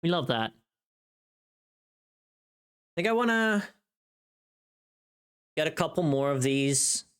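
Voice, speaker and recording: A woman with a very deep voice chats casually on a livestream while she works. Her talk is halting and informal, with little murmurs to herself between remarks. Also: animated, clean tone.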